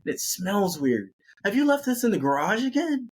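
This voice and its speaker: A man's voice: high-pitched, very animated, moderate pace, breathy edge, pitched above the performer's own voice, exaggerated voice. A man voicing a self-absorbed, demanding woman hiker in a story, with a cutesy, entitled manner. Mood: cutesy, complaining.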